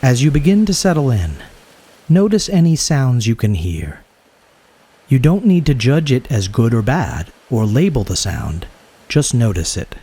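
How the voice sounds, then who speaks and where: A man guiding a yoga nidra meditation in a soothing, unhurried way, speaking in short phrases. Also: medium pitch, sing-song, mellow, slightly rough. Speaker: guided meditation teacher.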